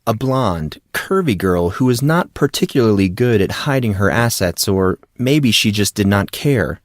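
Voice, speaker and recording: A man's voice: on the low side, expressive, a little slow, no room sound, studio quality. A man reading scripted fiction as an audiobook narrator.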